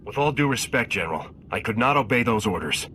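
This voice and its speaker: A firm, level-voiced soldier. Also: male voice, mid-range voice, animated, quick pace, pushed and bright, slight background noise. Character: calm male soldier lieutenant, dutiful and firm. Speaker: army lieutenant.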